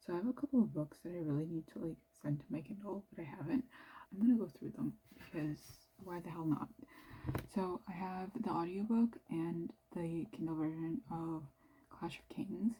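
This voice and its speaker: A young Canadian woman with a low-ish voice, chatting quietly and rambling about her reading, with plenty of "like". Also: normal speed, slight background noise, poor audio. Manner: talking quietly, near-whispered; rambling, filler-heavy with "like". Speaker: book vlogger. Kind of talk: casual chat.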